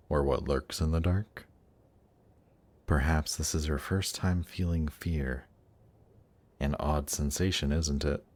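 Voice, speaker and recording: Man playing a very deep-voiced seductive demon prince. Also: exaggerated voice. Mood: taunting, menacing. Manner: teasing, unhurried, coaxing. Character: seductive male demon prince of Hell, teasing, coaxing. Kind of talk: intimate roleplay audio drama.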